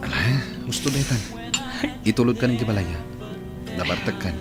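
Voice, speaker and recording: Man playing steady, caring friend over prominent music. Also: lowish voice, normal speed, lightly acted. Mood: firm, consoling. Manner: earnest, reassuring. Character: steady, caring male friend consoling and questioning. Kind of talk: radio drama acting.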